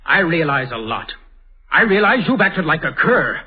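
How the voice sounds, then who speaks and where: A man's voice: on the high side, big pitch swings, moderate pace, breathy edge. An actor playing an earnest, impulsive young man in a vintage radio drama, clipped and theatrical. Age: young adult. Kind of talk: 1930s radio acting. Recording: tinny old radio broadcast.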